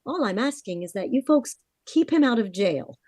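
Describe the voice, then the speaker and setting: A woman's voice: fairly high pitch, animated, moderate pace, a little mellow, dry recording, clean studio sound. A woman playing a determined, earnest sister in a melodramatic 1940s-style radio drama. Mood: insistent. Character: desperate, determined young sister pleading for her brother.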